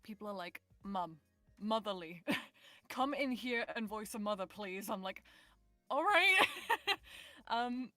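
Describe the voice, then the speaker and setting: A young British woman answers interview questions in a soft, approachable way, giggling often. Her voice is bright and crisp, pitched in the ordinary middle range for a woman. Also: expressive, takes it slowly, long pauses, no echo, soft background music. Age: young adult. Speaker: voice actor guest.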